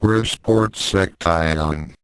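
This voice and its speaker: A man's voice: low voice, extremely slow, a bit bright, clean tone, dry recording, clean studio sound, full character voice. A man voicing a sleepy, grumbling young man in storybook dialogue. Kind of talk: storybook dialogue read aloud.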